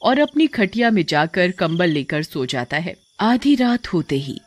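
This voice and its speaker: Lively woman narrating a cartoon. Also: lowish voice, unhurried. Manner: lively, dramatic storytelling.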